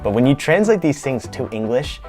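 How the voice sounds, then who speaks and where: A man's voice: mid-range voice, sing-song, normal speed, a little mellow, faint music behind. A young man from the United States presenting a scripted vlog in a playful, lightly joking manner. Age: young adult. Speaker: YouTube creator.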